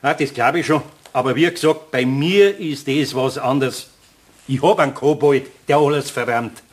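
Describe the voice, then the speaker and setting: An actor playing a long-suffering, good-natured older master carpenter with a Bavarian accent, sighing and muttering in a German radio play. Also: male voice, medium pitch, moderate pace, close to an everyday voice. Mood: apologetic, resigned.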